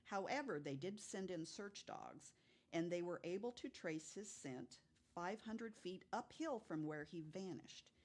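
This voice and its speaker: A woman's voice: on the low side, lively intonation, a little slow, a little mellow. A woman telling stories of unexplained cases in a casual way, as a guest on a podcast.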